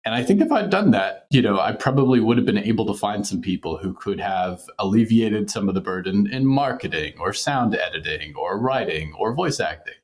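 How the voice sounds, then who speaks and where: A man's voice: fairly low pitch, lively intonation, fairly quick, few pauses. A man giving reflective, conversational interview answers, peppering his speech with "like" and "sort of". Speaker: podcast creator being interviewed.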